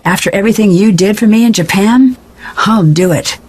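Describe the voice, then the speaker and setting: A woman's voice: medium pitch, brisk, darkish tone, breathy edge, natural voice. An actress playing a confident, inquisitive young woman detective in a video game, polite, direct and probing. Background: faint music.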